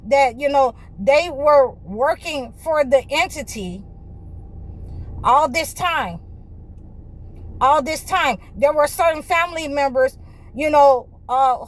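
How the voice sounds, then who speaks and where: A woman spiritual healer preaching to her viewers in a fervent, repetitive way, full of restarts and "you know" fillers, and sometimes rising close to a shout. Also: sits high, poor audio. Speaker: spiritual healer and channel host.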